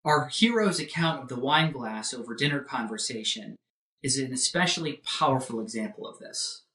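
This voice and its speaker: A man giving an academic philosophy lecture in a measured, formal manner with emphatic lists. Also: mid-range voice, lively intonation, pushed and bright. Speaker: professor lecturing. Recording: roomy echo, distant microphone.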